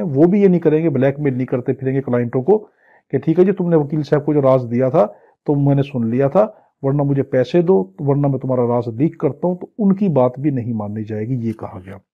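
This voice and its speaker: A man's voice: mid-range voice, lively intonation, a little fast. A Pakistani man teaching law in an exam-prep lecture, reading legal text and explaining it phrase by phrase in a didactic manner. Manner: didactic, translating phrase by phrase, with acted-out examples. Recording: dull, lo-fi lecture audio.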